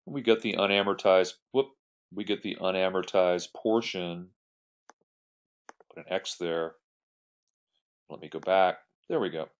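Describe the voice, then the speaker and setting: A man's voice: low-pitched, measured pace, long pauses. A man giving an explanatory tutorial as an accounting instructor, working through an example step by step in a conversational way. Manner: step-by-step, conversational, poses questions then answers them. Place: voice-over on a screen recording.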